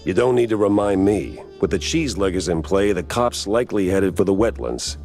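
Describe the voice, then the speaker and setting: A man playing a hard-boiled mouse private detective in a video game, gruff, wry and terse. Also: low voice, animated, normal speed, darkish tone. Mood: brooding, wry. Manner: wry, terse tough-guy talk. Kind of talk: noir game dialogue. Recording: music behind the voice.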